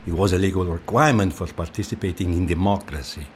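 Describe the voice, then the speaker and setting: A man playing a courteous, proud and enigmatic Venetian mask maker in an audio drama, measured, with an Italian accent. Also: deep voice, a little mellow, slight background noise, natural voice. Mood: proud, beguiling. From Venice, Italy.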